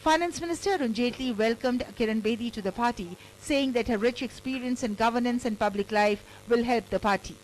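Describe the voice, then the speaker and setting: A woman reporter with an Indian accent narrates a scripted news package in a fairly high voice. There is a faint hum behind her. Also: fairly quick, few pauses, poor audio.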